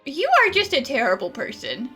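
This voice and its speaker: A woman giving casual let's-play commentary, animated and opinionated as she reacts on behalf of the characters. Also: high-pitched, normal speed, soft background music. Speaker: gaming streamer.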